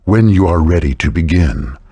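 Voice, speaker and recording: A man playing a grave old dark messenger in a fantasy game, speaking solemnly and formally with a gravelly, husky rasp. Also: low voice, animated, moderate pace, no room sound. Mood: solemn, commanding.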